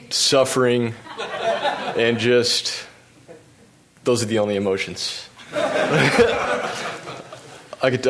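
A young American man, a pro bike racer, telling stories about his races while showing slides, relaxed and full of fillers, laughing at himself now and then. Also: mid-range voice, background noise. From United States. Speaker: pro cyclist giving a talk. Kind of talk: storytelling presentation with slides.

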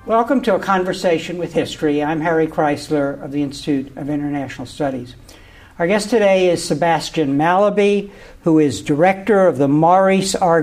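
A man's voice: a little high, darkish tone. A man hosting an academic interview show, asking questions hesitantly with many uhs and restarts.

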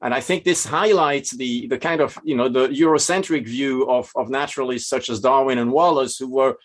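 A man with a Swiss accent moderating an academic panel's questions, halting with many restarts. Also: mid-range voice.

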